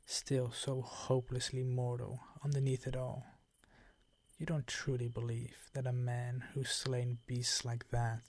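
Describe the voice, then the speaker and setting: Older vampire count played by a male actor for ASMR roleplay: suave, possessive, unhurried, condescending, with breathy menace and a close, dry sound. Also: mid-range voice, no room sound. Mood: condescending, insistent. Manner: slow, ornate, condescending seductive purr.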